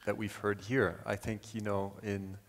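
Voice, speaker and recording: A man from Switzerland speaking as a panelist, measured and in long qualified sentences, at a conference. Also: on the low side, little pitch movement, noisy background. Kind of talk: panel discussion. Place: conference hall. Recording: reverberant conference hall.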